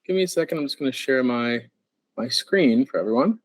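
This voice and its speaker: A man's voice: medium pitch, expressive, brisk. A man, a dog trainer presenting a webinar over a video call, talking in a chatty, self-deprecating way and sounding slightly stuffy from allergies.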